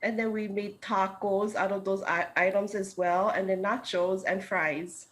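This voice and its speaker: A woman's voice: medium pitch, steady tone, a little slow. Woman in her 30s from hawaii, talks it through with lots of ums, video call sound. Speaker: food truck co-owner, guest. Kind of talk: remote news interview.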